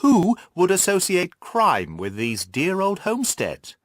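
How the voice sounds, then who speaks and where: A man reading the part of a detective's earnest, enthusiastic gentleman friend in an audiobook, in an eager manner. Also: sits high, huge pitch swings, a little slow, no echo, clean studio sound, voice pushed up, exaggerated voice. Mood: shocked. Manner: eager, exclamatory.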